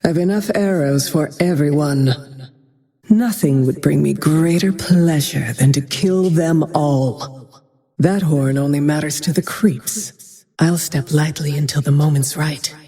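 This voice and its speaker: Grim female avenger archer for a fantasy game: slow, dark-toned, merciless and composed, in long reverb. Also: deep voice. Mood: cold resolve. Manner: cold, brooding, measured, often dropping to a breathy whisper. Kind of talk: dramatic video game voice lines.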